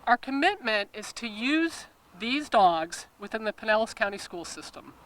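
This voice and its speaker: A woman's voice: a little high, very animated, normal speed, fairly bright tone. A woman police official delivering a prepared statement at a press conference. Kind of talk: prepared public address.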